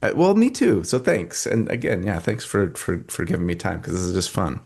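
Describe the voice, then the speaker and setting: A man in his thirties with a soft, soothing voice, asking gentle, hesitant, drawn-out questions as interviewer. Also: deep voice, big pitch swings, creaky, slightly rough. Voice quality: soft, soothing voice likened to ASMR. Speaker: interviewer and show host. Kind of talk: casual interview chat.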